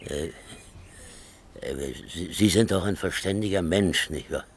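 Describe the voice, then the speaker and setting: An actor playing a stubborn, lonely old man in a German radio play, halting, repetitive and pleading. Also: deep voice, slight background noise. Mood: insistent. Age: older.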